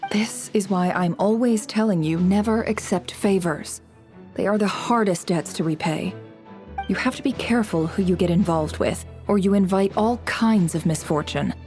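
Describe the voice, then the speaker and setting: A woman playing a shrewd, aloof intelligence boss in a video game, speaking in a cool, sardonic, deliberate way. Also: medium pitch, a little mellow, soft background music, lightly acted. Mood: reluctant. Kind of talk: English video game dub.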